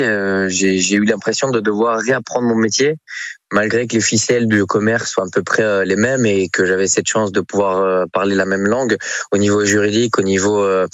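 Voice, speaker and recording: A man's voice: fairly low pitch, fairly even intonation, normal speed, dry recording. A man from Belgium with a faint Belgian accent, answering casually and hesitantly as a guest in a radio interview. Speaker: interview guest, real estate agent.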